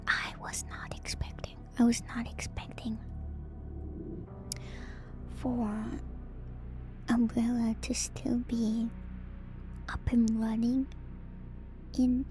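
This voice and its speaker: Female ASMR streamer, breathy whisper, very even and unhurried delivery, reading lore with gentle casual asides. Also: a little high. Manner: gentle, unhurried, musing, repeats phrases softly. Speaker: streamer and host.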